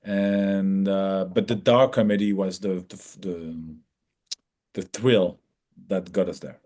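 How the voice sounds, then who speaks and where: A man who is a filmmaker, giving conversational interview answers in halting non-native English. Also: low-pitched, fairly even intonation, warm tone.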